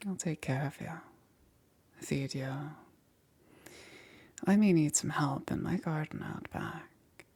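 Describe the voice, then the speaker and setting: A woman playing a soft-spoken, coaxing, quietly menacing witch in an ASMR roleplay, often dropping into a breathy, hesitant whisper. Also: deep voice, exaggerated voice. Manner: slow, hesitant, softly cooing.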